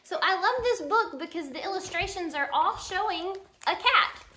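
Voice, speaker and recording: High, animated woman reading a picture book. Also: moderate pace, background noise. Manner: expressive, explains and asks children questions. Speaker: storytime reader, mother. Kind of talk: children's picture-book read-aloud with chat. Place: living room couch at home, indoors. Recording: roomy echo of a living room.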